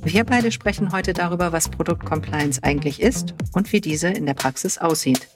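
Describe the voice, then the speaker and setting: A woman's voice: on the low side, normal speed, music in the background. A woman hosting a corporate podcast and interviewing a guest. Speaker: podcast host.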